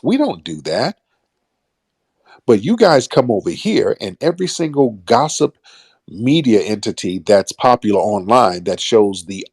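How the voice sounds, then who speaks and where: A man's voice: lowish voice, darkish tone, slightly rough, no room sound, clean studio sound. An American man hosting an online radio show, delivering a blunt, combative monologue in colloquial street slang with swearing and emphatic phrasing.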